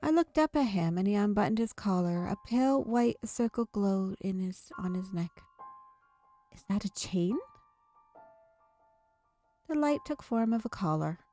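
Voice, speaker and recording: A woman's voice: mid-range voice, expressive, moderate pace, hardly any pauses, dark tone, faint music behind. A woman streamer reading a visual novel's story aloud, now and then stumbling over a word and rereading it. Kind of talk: read-aloud story narration.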